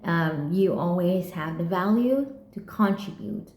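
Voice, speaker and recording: Soft, slow Vietnamese woman vlogging. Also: fairly low pitch, lively intonation, echoey room. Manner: soft-spoken, halting, many restarts and self-corrections. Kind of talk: reflective personal vlog.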